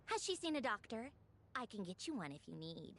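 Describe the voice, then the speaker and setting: A woman's voice: fairly high pitch, very animated, a little fast, a bit bright, slight background noise. A woman playing a poised, haughty and teasing noblewoman in a video game, refined, languid and condescending. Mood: coy, probing.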